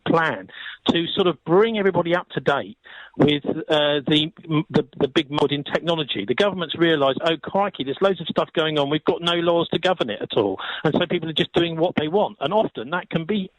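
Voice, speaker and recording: A British man with a slightly high voice, speaking as a guest commentator on talk radio. His delivery is conversational and discursive, and the sound is dry with little room around it. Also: a little fast, dry recording, rough recording.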